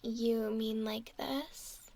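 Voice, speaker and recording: Casting: woman as a curious, doting mermaid for ASMR, gentle and playful, unhurried, higher-pitched with soft fry, often whispering. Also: fairly even intonation, heavily acted. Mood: soft, teasing. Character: curious, affectionate young female mermaid named Valerie.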